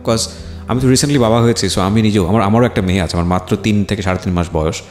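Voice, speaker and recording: A man's voice: fairly low pitch, quick pace, darkish tone. A man with a Bangladeshi accent hosting a radio interview, asking probing questions and commenting, mixing English words into his speech. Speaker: radio show host interviewing a guest. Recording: roomy echo with faint music behind.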